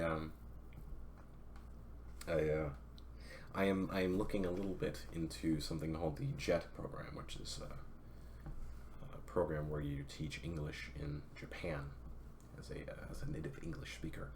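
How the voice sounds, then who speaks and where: A man's voice: very deep voice, level tone, normal speed, long pauses, darkish tone, a little hiss or hum. A young man reading a classic novel aloud on a stream, breaking off for chatty, self-correcting asides to his viewers.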